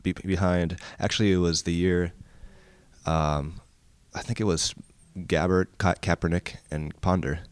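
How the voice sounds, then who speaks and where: A man with a very deep, low-pitched voice talks casually, wandering from point to point with self-deprecating asides. The sound is dry and close, with no room around it. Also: fairly even intonation, measured pace, clear, resonant tone, dry recording. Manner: rambling, self-deprecating, many fillers and restarts. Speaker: guest. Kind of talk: casual chat.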